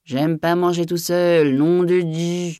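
A woman voicing an old male farm master in a storybook reading, with blunt, bossy, rustic speech and a quick temper. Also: fairly low pitch, darkish tone, no room sound, clean studio sound, natural voice. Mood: furious. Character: old, jovial, hot-tempered male farm master who bellows orders.

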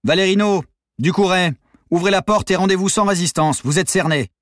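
A man voicing an authoritative police officer calling out loud, urgent orders in an audiobook thriller. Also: high-pitched, a little fast, no room sound, clean studio sound, pitched above the performer's own voice, lightly acted. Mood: commanding. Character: commanding male police officer calling through megaphone.

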